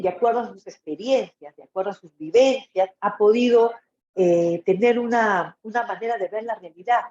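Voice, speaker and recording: A woman's voice: medium pitch, warm tone. A woman with a Peruvian Spanish accent giving an informal lecture in a didactic way, often checking in with short tag questions. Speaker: psychology lecturer. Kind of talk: informal webinar-style lecture. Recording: echoey room, dull muffled audio.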